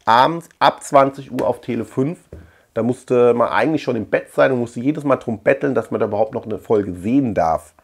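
A middle-aged man from Germany with a medium-pitched voice hosts a casual video about a collection. He talks colloquially and rambles, often thinking out loud as he addresses the viewers. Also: a little fast, slightly rough. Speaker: collector and video channel host. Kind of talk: casual rambling chat to camera. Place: small indoor room lined with shelves.

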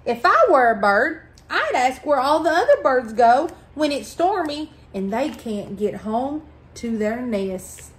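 A middle-aged woman reading a picture book aloud to children, phrasing expressively and deliberately. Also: high voice, big pitch swings. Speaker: library storytime reader. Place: indoors at a table in a small room.

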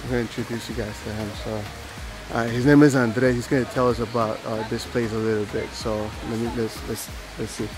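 A man's voice: mid-range voice, little pitch movement, brisk, music in the background, low-quality recording. A man in his forties, raised in the United States, talking casually to a handheld camera outdoors as a travel vlogger, full of fillers and restarts. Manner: rambling, filler-heavy, friendly aside-style talk. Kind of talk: casual vlog narration to camera. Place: outdoors at a rainforest park.

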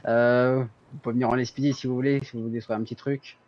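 A man's voice: mid-range voice, level tone, fast talker. A man chatting casually in an easygoing way while playing a police commander in an online roleplay. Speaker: player acting as police commander. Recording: dull gamer voice chat with background noise.

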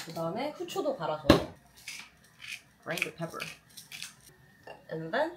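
A woman's voice: fairly low pitch, heavy background noise. A young woman with a Korean accent, chatting casually while she cooks and eats at a table at home. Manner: chatty, mixes in Korean phrases. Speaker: cooking vlogger. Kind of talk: casual cooking chat. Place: home kitchen table, indoors. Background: frying and sizzling from the pan.

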